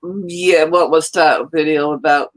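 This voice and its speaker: A woman from New York chatting casually on a live stream, rambling in a loud, hyper way. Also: deep voice, fairly even intonation, a little slow. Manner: rambling, hyper, self-described loud talker.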